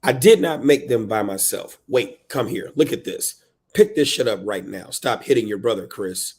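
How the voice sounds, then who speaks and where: A man's voice: fairly low pitch, expressive, normal speed, a little mellow, husky. A man voicing an exasperated, overwhelmed single mother of three in a dramatic, emphatic spoken-word book reading. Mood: scolding.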